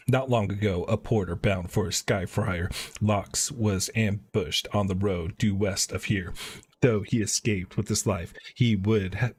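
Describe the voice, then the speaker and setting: A man live-streaming a video game, chatting casually and reading quest text aloud in an informal, halting way. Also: fairly low pitch, breathy edge.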